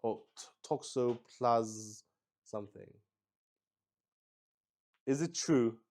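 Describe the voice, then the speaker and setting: A man's voice: mid-range voice, slow pace, a little mellow. A man hosting a casual livestream, thinking aloud and rambling in a hesitant way. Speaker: streamer hosting a solo tabletop roleplay stream. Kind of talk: casual improvised livestream chat.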